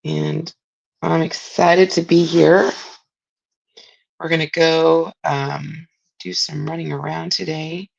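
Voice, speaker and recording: A middle-aged woman, raspy from a spring cold, chatting casually as she hosts a live craft demo and greets viewers by name. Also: sits low, level tone, slow pace, pauses often, a little mellow, dull audio. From Southern California. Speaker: craft livestream host. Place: home craft room.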